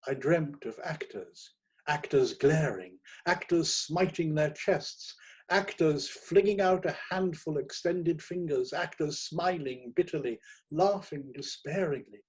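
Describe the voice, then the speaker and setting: A man reads a story aloud as an audiobook narrator. His voice is fairly high for a man and has a husky grain. He is theatrical and expressive, drawing out choice words with relish. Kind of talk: dramatic first-person short story reading.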